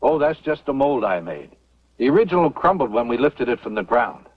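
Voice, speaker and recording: A man's voice: medium pitch, sing-song, fairly quick, husky, no echo. A man playing a courteous, enthusiastic professor in an old western drama, explaining things in a scholarly, theatrical way. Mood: patient, cordial. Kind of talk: mid-century western drama acting. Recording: muffled vintage soundtrack, loud music.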